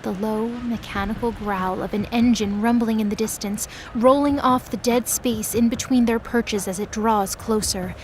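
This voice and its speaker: High-pitched woman narrating an audio drama. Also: fairly even intonation, moderate pace, few pauses, a little mellow, slight background noise. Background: music behind the voice.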